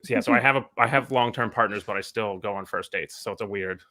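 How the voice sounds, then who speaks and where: A man's voice: fairly low pitch, heavy background noise. A man in his thirties talking earnestly on a podcast, swearing and filling with "like". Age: 30s. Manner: fast, earnest and profane, with many fillers. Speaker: podcast co-host. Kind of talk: casual podcast chat.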